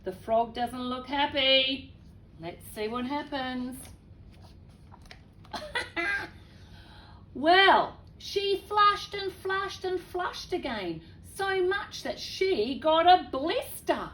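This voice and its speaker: A woman reading a children's story aloud in an expressive way, with chatty, exclaiming asides to young listeners. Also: sits high, very slow, pauses often.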